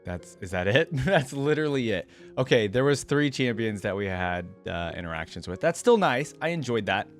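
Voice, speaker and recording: A man hosting a reaction video with casual, informal commentary, rambling and thinking out loud. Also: medium pitch, sing-song, moderate pace, few pauses, faint music behind.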